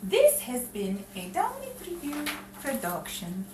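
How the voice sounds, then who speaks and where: A woman's voice: fairly high pitch, wildly swooping pitch, moderate pace, dark tone. A woman hosting and directing an audio drama troupe, talking casually to her cast and listeners. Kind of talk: casual directing and show sign-off. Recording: echoey noisy room, far from mic.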